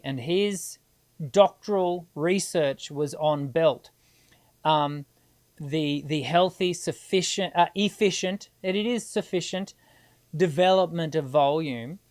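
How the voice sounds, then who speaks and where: A middle-aged woman, hosting a live singing Q&A and answering viewers in a chatty, encouraging way. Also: deep voice. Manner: chatty and encouraging, many restarts and filler ums.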